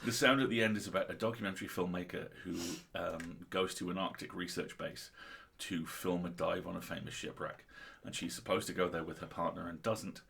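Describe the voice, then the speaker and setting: A man's voice: sits low, steady tone, fairly quick, gravelly, fairly bright tone. A man co-hosting a casual literary discussion, speaking in an enthusiastic, articulate and opinionated way. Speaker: co-host of a story reading livestream.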